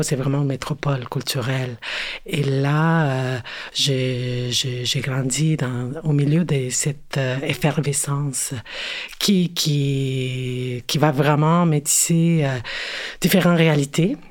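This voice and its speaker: A woman's voice: a bass voice, steady tone. A woman from Mexico City with a Mexican Spanish accent, answering interview questions in French with many hesitations and fillers. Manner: hesitant, many pauses and "euh" fillers, restarts. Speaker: guest, cultural worker and curator.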